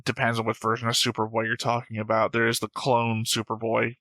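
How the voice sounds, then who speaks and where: A man's voice: medium pitch, monotone, fairly quick, sharp tone, no echo. A man hosting a reaction show, talking casually and argumentatively with frequent swearing. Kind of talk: casual discussion, reacting to an animated series.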